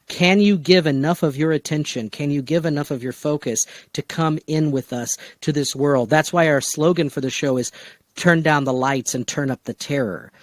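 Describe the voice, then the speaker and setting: A man with a fairly high-pitched voice talks as the host of a casual discussion. His sound is very dry, with no room around it. He speaks emphatically and with enthusiasm. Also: no echo. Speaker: podcast host and producer.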